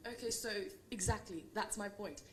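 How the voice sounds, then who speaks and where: A woman's voice: medium pitch, moderate pace. A young woman from Britain, a student debater arguing in a combative, challenging way. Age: young adult. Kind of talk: argumentative debate rebuttal. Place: debate event hall. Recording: roomy echo, faint noise and clicks.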